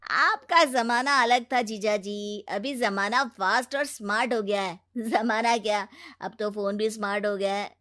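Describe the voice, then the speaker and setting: A woman voicing a cocky, excitable young male student in a cartoon moral story. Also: mid-range voice, a little slow.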